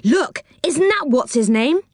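Woman reading as an excitable storybook boy. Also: high-pitched, measured pace, dry recording, studio quality, pitched above the performer's own voice. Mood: alarmed. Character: excitable young boy, alarmed and giggly.

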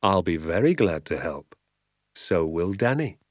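A man doing a soft-spoken, mischievous father. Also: low-pitched, big pitch swings, a little slow, no echo, studio quality, full character voice. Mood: sly. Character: calm, twinkly-eyed mischievous father.

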